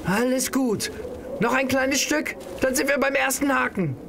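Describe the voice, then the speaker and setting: A man's voice: very high voice, a little slow, creaky, full character voice. A man acting a young male hiker, exhausted but urging, in an audio drama. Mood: instructing, urgent. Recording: noisy outdoor ambience behind dialogue.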